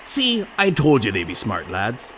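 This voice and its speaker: An actor playing a sly, illiterate pirate ruffian in a comic radio play, with mock-jovial menace and rough, ungrammatical pirate talk. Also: male voice, mid-range voice, wildly swooping pitch, measured pace, a bit bright. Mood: sly threatening. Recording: clear background noise.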